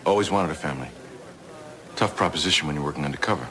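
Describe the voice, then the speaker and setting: Male, seasoned federal boss in a crime audio drama: deep, forceful voice, terse and world-wise. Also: racing, slight background noise. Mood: grave, candid. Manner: terse, plain-spoken.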